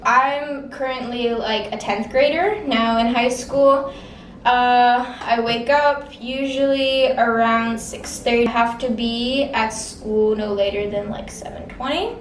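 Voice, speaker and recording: A woman's voice: on the high side, fairly even intonation, takes it slowly, reverberant, distant mic, slight background noise, low-quality recording. A sixteen-year-old girl from the Czech Republic, an exchange student answering interview questions casually with lots of "like" and "um". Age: teens. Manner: casual, many fillers like "like" and "um".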